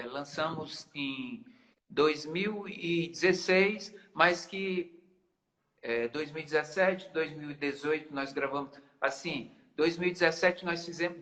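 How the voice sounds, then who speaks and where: A man's voice: on the high side, a bit bright. A man, a guest musician in a casual live-stream interview, explaining patiently and often restarting his phrases. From Maranhão, Brazil. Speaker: guest musician, band founder.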